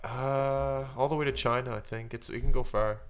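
A man's voice: medium pitch, fairly even intonation, moderate pace, smooth. A man co-hosting a podcast in casual comedic banter, riffing on his opinions in a mock-earnest way. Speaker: podcast co-host.

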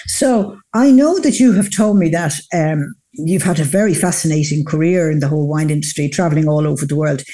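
A woman's voice: deep voice, brisk, hardly any pauses, dark tone. An Irish woman hosting an interview, asking encouraging questions. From Ireland. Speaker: interview host.

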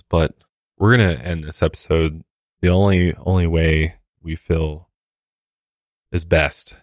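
Very deep-voiced male podcast host. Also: no room sound, studio quality. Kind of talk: conversational true-crime podcast talk.

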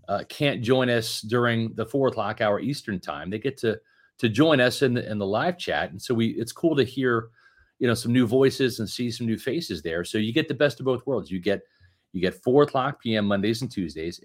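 Fifty yr old guy on a sports podcast, lowish voice, rambly, keeps restarting his sentences. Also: moderate pace. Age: 50s. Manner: chatty, rambling with many restarts. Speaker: podcast co-host. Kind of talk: casual sports podcast chat.

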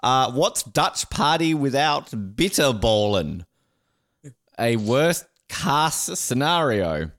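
A man's voice: medium pitch, animated, a bit bright. A man co-hosting a podcast in casual banter, joking, laughing and reading jokes aloud. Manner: jokey, laughing, quick silly voices. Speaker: podcast co-host.

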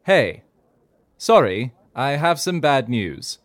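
An actor playing a level-headed, gently droll Victorian gentleman in an audio fiction comedy, diplomatic and wry. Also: medium pitch, very animated, slow pace, no room sound. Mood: dry, measured.

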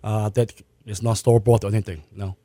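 A man's voice: lowish voice, little pitch movement, fairly quick, dark tone, no echo, studio quality. A man from Malaysia raised in Hong Kong, a restaurant owner answering interview questions plainly, often restarting his sentences. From born in Malaysia, raised in Hong Kong.

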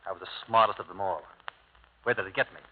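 An actor playing a bitter, brooding Italian-American ex-convict in a clipped, hard-boiled 1950s radio drama style. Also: male voice, low-pitched, quick pace, a little hiss or hum, close to an everyday voice. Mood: bitter, guarded. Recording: old tinny radio broadcast, music behind.

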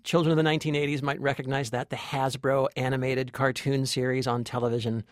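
A man's voice: mid-range voice, level tone, fast talker, no echo, clean studio sound. A man co-hosting a movie podcast as a film critic, talking conversationally about films.

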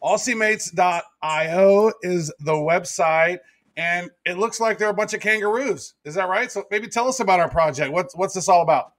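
A man's voice: sits high, moderate pace, fairly bright tone. A man hosting a podcast, teasing and joking in casual banter. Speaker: podcast host.

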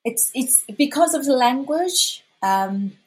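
Korean-accented woman, husky voice, unhurried speech, halting with fillers and restarts. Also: high voice, lively intonation. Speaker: freelance designer guest. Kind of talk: casual interview answers.

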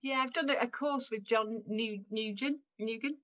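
A woman's voice: on the high side, moderate pace, sharp tone, lo-fi sound. A woman from Wales chatting casually in an interview, laughing often and restarting her sentences as she talks. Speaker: guest improviser.

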